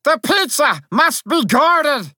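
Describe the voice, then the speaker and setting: A man's voice: medium pitch, huge pitch swings, measured pace, pushed and bright, no echo, studio quality, voice pushed up, heavily acted. A man voicing a tiny, valiant fairy soldier who makes formal, grandiose declarations with earnest pomp, in a storybook reading. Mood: alarmed, thundering. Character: tiny winged male fairy soldier, pompous, excitable, valiant.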